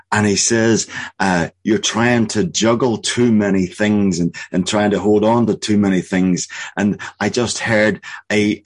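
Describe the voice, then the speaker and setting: A man preaching a sermon, earnest and halting, with many restarts, slowing down to deliver what he hears as a word from God. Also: fairly low pitch. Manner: slow, emphatic delivery of spoken prophecy. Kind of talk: sermon with prophetic words.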